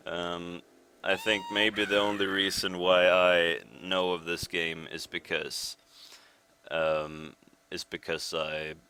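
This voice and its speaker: A young Swedish man with a Swedish accent commentating his speedrun in a relaxed, rambling way, with many pauses and fillers. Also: deep voice, slight background noise. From Sweden. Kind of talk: casual live commentary over gameplay. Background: game music.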